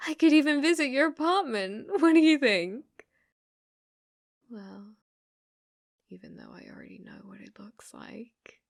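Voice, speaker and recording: A woman's voice: high voice, dry recording, clean studio sound, voice pushed up, exaggerated voice. A British woman playing an obsessive, sweetly possessive young female stalker in an ASMR roleplay, coaxing and often dropping into whispers.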